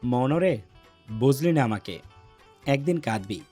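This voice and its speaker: A man plays a lovesick young man in a romance audio story. His voice is heavy and gruff, and he broods and orders people around with menace. Faint music runs behind him. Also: lively intonation, takes it slowly, mellow. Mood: heartbroken, brooding. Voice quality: deep, heavy voice.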